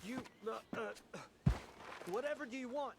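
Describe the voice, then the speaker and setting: High-pitched, pleading debtor. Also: male voice, moderate pace, very noisy. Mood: pleading, afraid. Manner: stammering, pleading. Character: frightened, pleading male debtor with a family. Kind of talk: Western video game acting.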